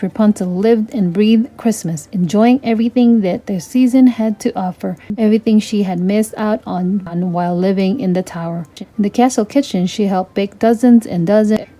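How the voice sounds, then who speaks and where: A woman's voice: medium pitch, mellow, rough recording. A woman narrating a children's storybook aloud as a storytime host.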